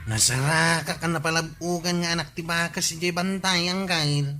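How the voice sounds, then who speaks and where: Grumpy, high-pitched Ilocano village man. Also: moderate pace, sharp tone, soft background music. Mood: scolding. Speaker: actor in a radio comedy drama. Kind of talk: Ilocano comedy radio drama acting.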